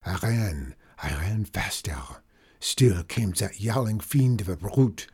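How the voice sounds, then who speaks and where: A man voicing a superstitious French trapper with a French accent, telling a ghost tale in a hushed, dramatic whisper. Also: on the low side, lively intonation, takes it slowly, husky, exaggerated voice. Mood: fearful whisper. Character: superstitious male French trapper, fearful storyteller.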